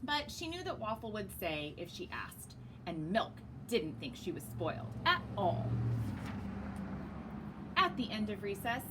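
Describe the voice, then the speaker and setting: A woman reading a children's story aloud in a very expressive, emphatic narrating style. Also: medium pitch, unhurried, background noise. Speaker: storyteller reading a picture book.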